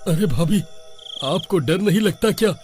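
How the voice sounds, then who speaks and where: A man's voice: sits high, lively intonation, normal speed, over music. A man voicing a frightened, timid younger brother in a cartoon story, fretful and pleading. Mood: panicked, pleading.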